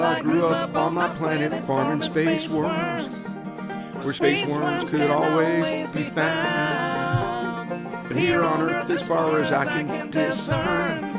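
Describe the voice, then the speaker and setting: A man voicing a laid-back, dreamy, folksy spaceman castaway who muses absurdly in a comedy audio drama. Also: a little high. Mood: folksy, lilting.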